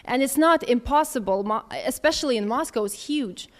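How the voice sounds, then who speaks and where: A woman's voice: high-pitched, expressive, normal speed. A woman in her thirties answering audience questions in a candid, conversational way, thinking aloud as she goes. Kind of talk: casual Q&A talk.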